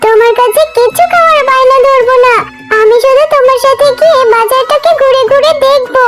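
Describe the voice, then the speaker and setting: A woman's voice: extremely high pitch, loud music behind the voice. A woman voicing a whiny, pleading little girl animal in a children's cartoon. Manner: whiny, coaxing, drawn-out pleading. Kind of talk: children's cartoon dub in Bengali.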